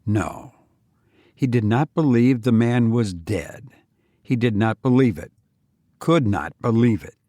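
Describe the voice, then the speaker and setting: A man reads a dramatic story in a middle-pitched voice. His delivery is slow, solemn and deliberate, pausing for effect. The recording is dry, with very little room sound. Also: dark tone, no echo. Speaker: audiobook narrator. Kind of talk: dramatic scripted story narration.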